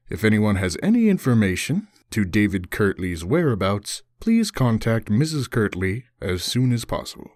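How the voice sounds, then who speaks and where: A man playing an earnest, formal small-town radio news host delivering a scripted broadcast monologue in a courteous, measured way. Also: on the low side, a little mellow, no echo, clean studio sound. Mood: earnest, solemn.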